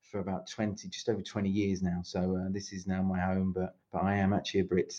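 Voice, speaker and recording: A middle-aged man with a British accent talks over a video call, his voice sitting a little low. He rambles warmly through stories, doubling back and restarting his phrases. The call audio is dull and lo-fi. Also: completely flat delivery, fast. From England. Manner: rambling, many restarts and self-corrections. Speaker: podcast guest, speaking coach. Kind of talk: casual interview chat over video call.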